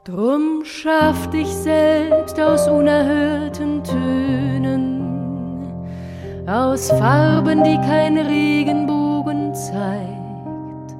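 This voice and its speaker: Female singer, very slow drawn-out lines, fairly high voice, sung poem, loud instrumental backing. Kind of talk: sung poem setting, chanson-like song. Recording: music recording with instruments. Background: musical accompaniment.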